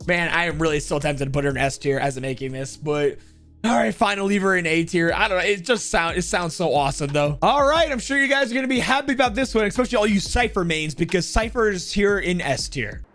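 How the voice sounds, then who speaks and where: High-pitched excitable young man, gaming commentary. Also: fast, soft background music. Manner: excitable, informal. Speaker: gaming video host. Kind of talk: enthusiastic ranking commentary.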